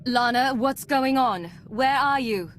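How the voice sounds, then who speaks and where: An actress playing a steady, determined female agent and commander in a video game, composed and direct. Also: high-pitched, slow, slight background noise. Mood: alarmed, resolute. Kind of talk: video game cutscene acting.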